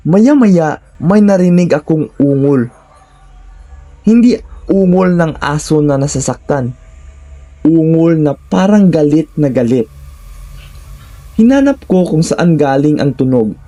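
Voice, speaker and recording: A man with a Filipino accent narrates a scripted first-person horror story in short, deliberate, suspenseful phrases. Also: fairly high pitch, warm tone.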